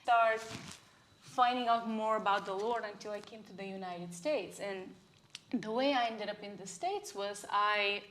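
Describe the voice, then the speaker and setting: A woman's voice: medium pitch, a little slow, fairly bright tone, slight background noise, low-quality recording. A woman in her forties with a Bulgarian accent, sharing a personal testimony in a plain-spoken, reflective way.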